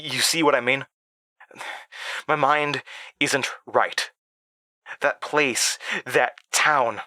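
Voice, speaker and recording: Rattled, stammering horror drama narrator. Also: male voice, mid-range voice, pushed and bright. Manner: halting, stammering, nervous self-interrupting. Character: anxious, rattled man recounting eerie childhood memories to recorders. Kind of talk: first-person horror audio drama monologue.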